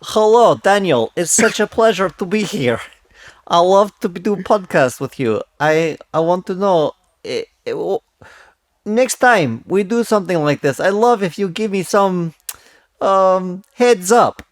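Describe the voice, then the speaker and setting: A man voicing a Russian-accented character who fumbles for English idioms, halting and searching for words. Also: sits high, animated, dry recording, clean studio sound, voice pushed up. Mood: eager, fumbling. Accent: put-on Russian.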